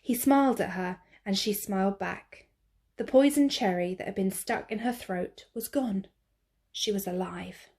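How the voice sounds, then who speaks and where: A woman teacher reading a picture book aloud to children, slow, clear and expressive. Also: medium pitch.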